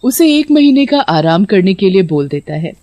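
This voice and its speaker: A woman's voice: medium pitch, sing-song, moderate pace, dark tone, no echo. A woman reading a scripted story as its narrator.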